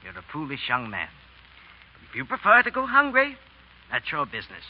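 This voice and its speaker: An actor playing an irate, scornful rich fat publisher boss, blustering and scolding in a 1930s radio play. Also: male voice, a little high, wildly swooping pitch, a little fast. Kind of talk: 1930s radio drama acting. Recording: reverberant, dull 1930s radio broadcast.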